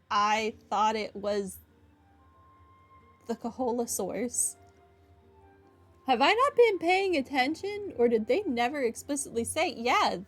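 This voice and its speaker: A woman with a slightly high voice chats casually through a game playthrough. Her remarks are wry and sarcastic. Game music is clearly audible behind her. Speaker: gamer, playthrough host.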